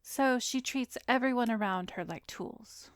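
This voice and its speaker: A woman playing a composed, soothing therapist in an ASMR roleplay, speaking gently and measuredly, often slipping into a breathy whisper, close to the mic. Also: a little high, slight background noise, lightly acted. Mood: probing, insinuating. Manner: measured, gentle, professional, probing. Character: composed, soothing female therapist, secretly an arrogant vampire. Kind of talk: ASMR roleplay, addressed to the listener. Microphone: close studio microphone, dry.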